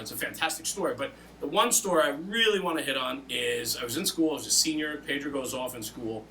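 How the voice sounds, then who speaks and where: A man's voice: mid-range voice, recorded from a distance, noisy background. A man hosting an interview, telling personal anecdotes in a casual, colloquial way. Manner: casual, colloquial storytelling with reenacted dialogue. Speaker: podcast host and volunteer firefighter.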